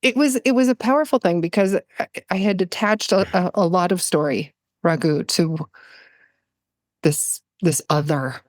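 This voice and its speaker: Lower-pitched woman, earnest storyteller. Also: normal speed, no echo, studio quality. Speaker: podcast guest, poet. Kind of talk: reflective conversational storytelling.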